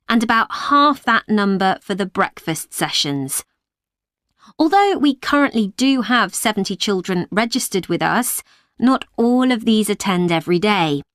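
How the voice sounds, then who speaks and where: A woman speaking as a childcare manager, giving a polite, clear talk to parents. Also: medium pitch, fairly bright tone, dry recording, clean studio sound. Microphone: studio microphone, dry.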